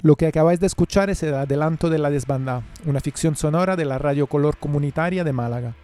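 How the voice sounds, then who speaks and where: A man presenting a radio interview in articulate, measured phrases. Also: fairly high pitch, mellow, faint music behind. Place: radio studio.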